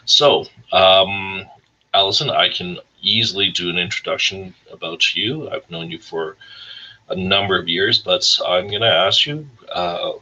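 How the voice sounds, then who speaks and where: A man with a husky voice hosting a casual interview show over an online call. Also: deep voice, unhurried, bright, forceful tone, highs cut off. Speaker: host of a weekly music career show.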